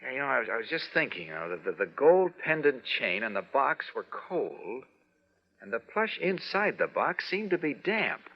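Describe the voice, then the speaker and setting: A man's voice: mid-range voice, sing-song, moderate pace. An actor playing an earnest, dogged, mild-mannered male reporter turned sleuth in an old radio drama. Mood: musing, excited. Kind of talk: 1950s radio drama acting. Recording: muffled vintage radio recording.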